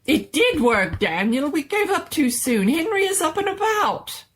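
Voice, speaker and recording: A woman voicing an excitable, doting little male mad scientist in a storybook reading, fussy and full of proud exclamations. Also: high-pitched, measured pace, pitched above the performer's own voice. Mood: excited, delighted. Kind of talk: dramatic reading of a horror-comedy story.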